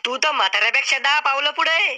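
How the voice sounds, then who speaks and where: A man's voice: very high-pitched, quick pace, sharp tone, full character voice. A man voicing a sarcastic mock game show host in a cartoon, announcing in a mock-formal tone. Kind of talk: cartoon comedy acting.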